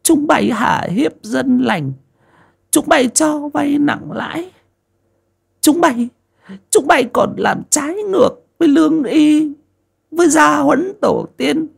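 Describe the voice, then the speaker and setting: A man's voice: very high voice, animated, slow pace, gravelly, pitched above the performer's own voice, heavily acted. A man voicing a stern, grieving old mother, scolding shrilly and wailing. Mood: furious.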